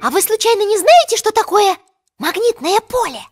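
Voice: very high-pitched, sing-song, moderate pace. A performer playing a curious, earnest young animal child in a cartoon, easily puzzled and full of questions. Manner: inquisitive, earnest. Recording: music playing loudly behind.

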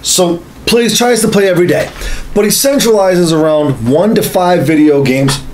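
A man's voice: high-pitched, normal speed, a little mellow, faint music behind, rough recording. A man in his thirties chatting casually as a podcast co-host, methodically laying out his points with some swearing. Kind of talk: casual explanatory chat. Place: small home studio room. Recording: slight background room noise.

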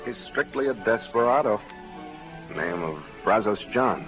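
A protective cowboy companion. His pitch moves up and down a lot as he frets and cautions in folksy fashion. It sounds like a narrow-band vintage radio with clear music behind. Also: animated, a little slow. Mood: cautioning. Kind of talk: 1940s-50s radio western acting. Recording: tinny old radio recording, music behind.

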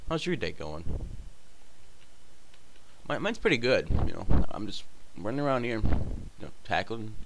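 A young man with a stuffy nose, casually commentating a video game with crude jokes and excitable outbursts. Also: on the low side, a little slow, creaky, long pauses, noisy background. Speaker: gameplay commentator. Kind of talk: casual let's play commentary.

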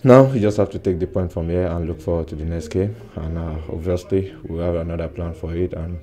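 A young man in his twenties, a footballer giving a soft-spoken post-match interview with many "uh" pauses, recorded indoors close to the mic. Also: deep voice. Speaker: football player. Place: indoor press backdrop at a stadium.

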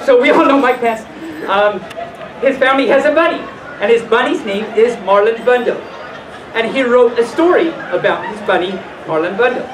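A storytime host reading aloud to a live audience in a playful way, with casual asides. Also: medium pitch. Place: hotel function room at a convention. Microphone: distant camera microphone, speaker in a full mascot costume. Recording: roomy, distant, noisy camera audio.

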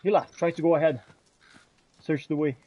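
A man's voice: fairly high pitch, fairly even intonation, brisk, husky, dull audio. A man playing a video game in character, talking to companions in old-fashioned phrasing. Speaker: gamer role-playing his character. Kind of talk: in-character game roleplay commentary.

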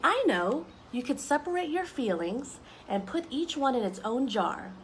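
A young woman reading picture books aloud to children, expressive and explaining things simply as she goes. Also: high voice, normal speed, a little hiss or hum, low-quality recording. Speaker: story time reader for children. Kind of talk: children's picture book read-aloud with asides. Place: outdoors on a wooden deck among trees.

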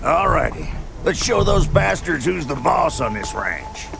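An older Western rancher for a game, feisty and hearty. His gravelly voice sits fairly high and leaps around in pitch, over background music. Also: very animated, unhurried, full character voice. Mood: reassuring, rallying. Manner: hearty, rallying, colourful. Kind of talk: dramatic video game cutscene acting.

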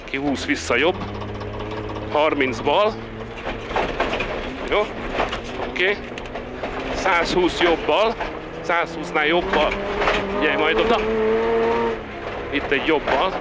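A man calling rally pace notes as co-driver in short, clipped, urgent instructions inside a moving car. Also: a little high. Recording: loud roaring car engine noise. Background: race car engine and driving noise.

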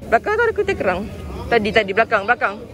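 High-voiced chatty Malaysian man at a market. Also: very animated. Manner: chatty, playful, quick asides. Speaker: vlogger. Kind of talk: casual chat while filming. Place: crowded outdoor market. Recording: busy crowd behind.

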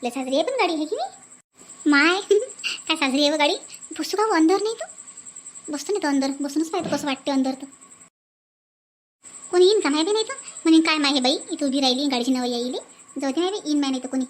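Woman voicing a shrill jealous Marathi village aunt. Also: animated, fast talker, long pauses. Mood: envious, wishful. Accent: Varhadi Marathi from Vidarbha. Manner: nosy, scornful, muttering to herself. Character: jealous, spiteful, boastful middle-aged village aunt. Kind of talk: comic cartoon dialogue.

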